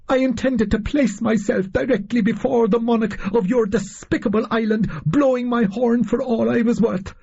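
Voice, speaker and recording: Storybook Fenian musician: Dublin brogue, high, husky, impassioned, scornful, defiant. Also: male voice, warm tone, muffled recording, exaggerated voice. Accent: Dublin Irish. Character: red-haired Irish male Fenian musician, defiant and desperate.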